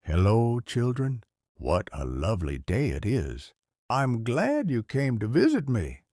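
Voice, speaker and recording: Slow, animated old grandfather storyteller. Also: medium pitch, dry recording, studio quality. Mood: gentle. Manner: unhurried, expressive, theatrical delivery. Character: kindly old grandfather storyteller telling Bible stories. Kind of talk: dramatized audio story narration.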